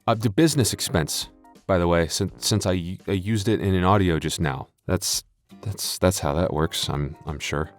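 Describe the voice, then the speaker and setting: A man's voice: deep voice, normal speed, soft background music. A man chatting casually and jokingly to his listeners as an audio creator, hesitant and self-deprecating. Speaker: audio roleplay creator and voice actor.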